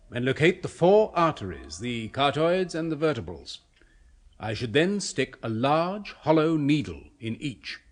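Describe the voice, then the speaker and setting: A man of science in a 1970s radio chiller, fervent and persuasive. His pitch leaps about with excitement and his voice has a husky edge. He explains macabre things with clinical precision and coaxing zeal. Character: eager, persuasive male scientist obsessed with a macabre experiment. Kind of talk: 1970s British radio horror play acting.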